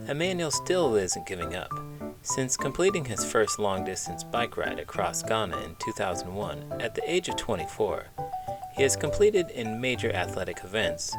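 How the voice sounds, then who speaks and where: A man reading a children's book aloud in an expressive, emphatic, measured narrating style. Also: on the low side. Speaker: children's book reader, teacher.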